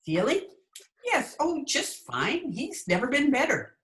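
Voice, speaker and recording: Woman playing a wry schoolteacher, high lively voice. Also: measured pace, full character voice. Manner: dry, wisecracking. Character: wry, quick-witted female schoolteacher covering for her boss. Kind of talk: old-time radio comedy acting.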